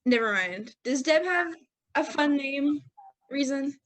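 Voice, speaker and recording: A woman's voice: sits high, animated, slow, fairly bright tone. A woman talking casually as part of a podcast group chat.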